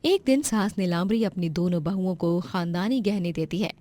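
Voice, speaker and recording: A woman's voice: fairly low pitch, moderate pace, warm tone. A woman storyteller giving a scripted narration of a moral tale.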